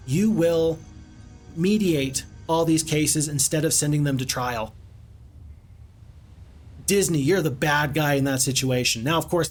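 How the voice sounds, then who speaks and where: Chatty man streaming a strategy game. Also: a little high. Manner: chatty, rambling, reads viewer chat aloud. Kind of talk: casual live-stream commentary.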